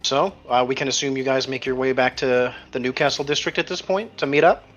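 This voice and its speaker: A man's voice: medium pitch, steady tone, brisk, a bit bright, faint music behind. Male game master, slightly dull lo-fi sound. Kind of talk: casual tabletop game narration.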